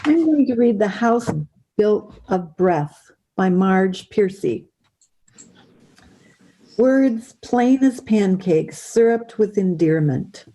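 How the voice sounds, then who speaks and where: A woman reading a poem aloud in a measured style. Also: mid-range voice, animated, dark tone, low-quality recording. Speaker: reader.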